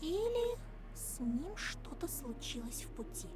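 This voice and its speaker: A woman's voice: high-pitched, very animated, a little slow, music in the background. A woman voicing a mysterious young fox-masked girl in a visual novel game, playful, coaxing and taunting. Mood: ominous, playful.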